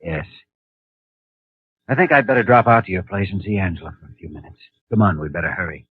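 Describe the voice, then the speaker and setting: An actor playing a calm, reassuring young hospital doctor in a 1950s radio drama. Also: male voice, deep voice, fast talker, husky. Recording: tinny old radio recording with music.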